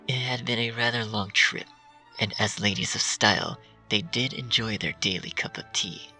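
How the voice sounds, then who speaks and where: Casting: gravelly low male reader murmuring a grim story over a loud music bed. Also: normal speed. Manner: hushed, half-whispered reading. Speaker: fanfiction reader. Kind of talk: dark storybook narration. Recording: distant mic with music bed.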